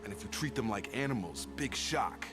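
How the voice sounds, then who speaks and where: A man's voice: on the low side, expressive, normal speed, natural voice. An actor playing a young male soldier in a video game, bitter and restrained as he confronts his father. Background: game music.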